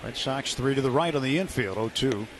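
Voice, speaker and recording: A man's voice: mid-range voice, a little fast. An American man doing live baseball play-by-play on radio in clipped descriptive phrases. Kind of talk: live sports commentary. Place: outdoor ballpark broadcast booth. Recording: noisy live ballpark radio broadcast.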